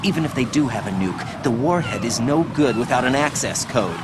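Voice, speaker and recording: A man's voice: mid-range voice, animated, brisk, background noise, natural voice. An actor playing an earnest, uneasy young soldier in a video game, questioning what he is told. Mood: incredulous. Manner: questioning, incredulous. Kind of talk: video game cutscene voice acting.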